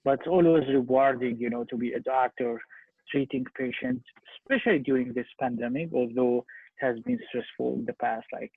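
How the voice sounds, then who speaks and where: A young man with a Syrian Arabic accent answering interview questions as a physician, with husky, halting speech and repeated words. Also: mid-range voice. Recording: muffled phone-like internet call, echoey room.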